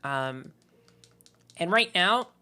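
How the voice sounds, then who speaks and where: Low-voiced woman with a sore throat. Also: expressive, very slow, bright, forceful tone. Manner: rambling and candid, heavy use of "like". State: sore throat, voice deeper than usual. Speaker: streamer. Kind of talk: casual live-stream chat.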